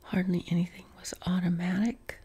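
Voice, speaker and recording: A middle-aged woman from West Virginia with a softened Appalachian accent, whispering an unhurried question-and-answer chat from her living room couch, close to the mic. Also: lowish voice, level tone, slightly rough. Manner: unvoiced whisper, unhurried with many ums. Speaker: ASMR creator. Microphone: close mic, camera framed on torso.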